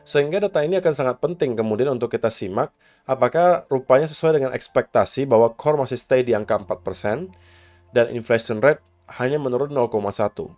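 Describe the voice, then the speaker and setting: A man with a hoarse, coughing voice, casually walking viewers through upcoming market data and events, mixing in English market terms. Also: mid-range voice, brisk, a little mellow, faint music behind. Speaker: crypto market commentator.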